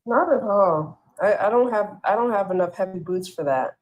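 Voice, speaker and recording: Woman panel guest, mid-pitch, earnest and questioning. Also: moderate pace, rough recording. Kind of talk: casual news chat.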